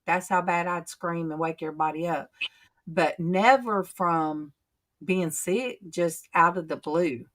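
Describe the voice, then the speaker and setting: A woman, hosting a casual faith chat and telling stories in a chatty, drawn-out way. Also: on the low side, fairly bright tone. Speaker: channel host.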